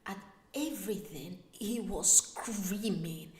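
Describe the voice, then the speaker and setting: A woman vlogger telling a personal story in a casual, animated way, sometimes dropping to a whisper. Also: medium pitch, slow, distant mic. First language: not Italian. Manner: animated, drops to a whisper for asides. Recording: echoey room, voice away from mic.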